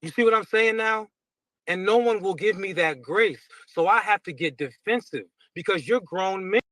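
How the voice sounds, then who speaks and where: A man on a podcast panel, talking defensively and slowly, with careful pauses. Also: sits high, fairly bright tone, no echo. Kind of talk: heated podcast panel talk.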